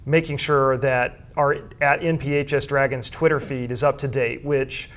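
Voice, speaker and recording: A man's voice: mid-range voice, level tone, normal speed, fairly bright tone. A middle-aged American man speaks as a guest in an interview. He explains his work in an earnest, thorough way, with a mid-range voice. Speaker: guest, school sports information director and journalism teacher.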